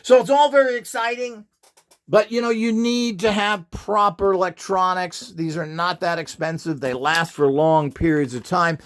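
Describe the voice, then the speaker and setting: A man's voice: high-pitched, fairly even intonation, normal speed. A man giving an informal explainer talk as an instructor and seller, lecturing in an opinionated, emphatic way. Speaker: instructor and seller of esoteric devices and courses.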